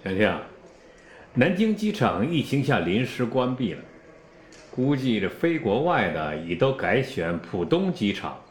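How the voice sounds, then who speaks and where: An actor playing a concerned, resigned middle-aged father in a radio drama, speaking in a measured, earnest way. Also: mid-range voice, big pitch swings, a little hiss or hum. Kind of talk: Chinese radio drama dialogue. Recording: echoey, roomy sound.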